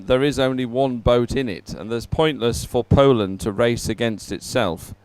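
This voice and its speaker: Male sports commentator, really clean smooth voice, average pitch, measured and explaining stuff as he goes. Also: no room sound. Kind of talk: live sports broadcast commentary.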